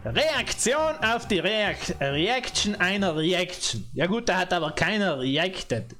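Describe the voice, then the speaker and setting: A man's voice: high-pitched, big pitch swings, slow, pushed and bright, soft background music. A man in his thirties streaming a game indoors on a headset, rambling and joking with his viewers. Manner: rambling, joking, laughing often. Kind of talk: casual gaming livestream chat. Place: indoor room.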